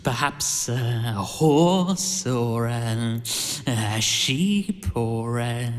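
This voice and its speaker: An actor playing a scrawny, sycophantic servant villain, oily, hesitant and stammering, in an audio pantomime. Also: male voice, medium pitch, expressive, very slow. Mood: oily, sly. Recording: long hall-like reverb.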